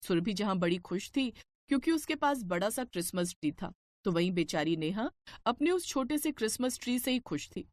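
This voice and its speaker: A woman's voice: medium pitch, lively intonation, normal speed, darkish tone, no echo. A woman narrator reading a scripted cartoon family story.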